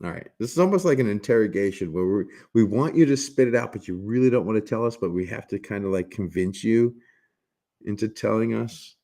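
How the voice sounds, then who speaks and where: A man with a raspy smoker's voice hosting a casual livestream, joking with viewers and rambling through restarts with bursts of laughter. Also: fairly low pitch, fairly quick, mellow. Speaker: livestream host.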